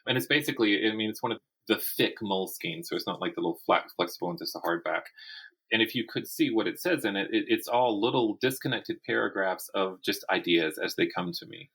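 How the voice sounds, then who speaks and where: A man's voice: fairly low pitch, a little fast, gravelly, a bit bright, husky, poor audio. A man speaking as a guest author in a casual interview, often restarting and repeating words as he thinks aloud.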